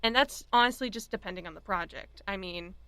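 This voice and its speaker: A woman of average pitch talks casually on a podcast panel. Her voice has plenty of vocal fry, she leans on filler words, and the recording is very dry. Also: brisk, bright, forceful tone, no room sound. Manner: informal, filler-heavy with many "like"s. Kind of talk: casual chat.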